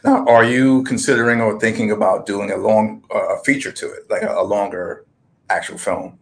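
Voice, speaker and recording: A man with a lowish voice hosts the interview in a friendly, easy way. He sets up his guest and heaps praise on her work. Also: level tone, normal speed. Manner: friendly, complimentary, conversational. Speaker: podcast host. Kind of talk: interview hosting.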